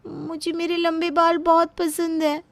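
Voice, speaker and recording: A woman playing a high-pitched young daughter-in-law. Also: level tone, unhurried, fairly bright tone, exaggerated voice. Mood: plaintive. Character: put-upon, plaintive young daughter-in-law.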